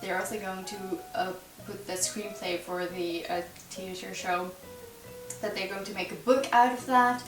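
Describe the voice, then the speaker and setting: A woman's voice: medium pitch, steady tone, moderate pace. A young Dutch woman of twenty-one rambles cheerfully to camera, giggling and filling gaps with likes and ums. She sounds set back from the mic in a roomy space, with music running underneath her voice. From Netherlands. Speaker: YouTuber answering a tag. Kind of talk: casual chat to camera. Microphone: camera mic at a distance. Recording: roomy, distant, with music behind. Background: music playing under the voice.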